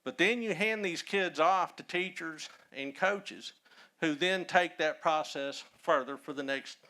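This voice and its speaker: A man from north Texas with a Texan accent, a historian speaking as a guest and telling folksy stories with many pauses. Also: fairly high pitch, sharp tone. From Texas, Dallas-Fort Worth area. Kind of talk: casual storytelling talk.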